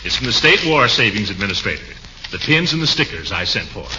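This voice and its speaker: Blustering bachelor uncle, punning and booming, very lively pitch, old radio-play sound. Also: male voice, quick pace, noisy background. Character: pompous blustering middle-aged bachelor uncle. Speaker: comic lead of a radio sitcom. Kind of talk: 1940s radio acting. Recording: dull lo-fi 1940s radio recording.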